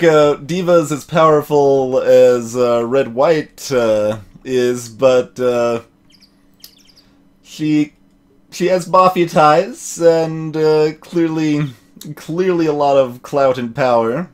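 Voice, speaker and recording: A man's voice: fairly high pitch, slow pace. A man giving casual game commentary and reading dialogue aloud, musing and repeating his phrases as he thinks. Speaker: let's play host.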